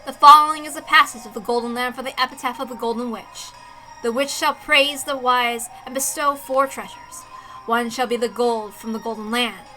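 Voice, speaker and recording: Female narrator for dramatic visual novel reading, high and bright. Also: steady tone, moderate pace, faint music behind, low-quality recording. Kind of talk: dramatic scripted story reading with character voices.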